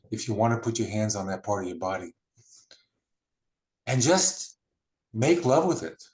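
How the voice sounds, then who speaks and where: A man leading a guided healing meditation, speaking slowly and soothingly with long pauses. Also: lowish voice, a little mellow.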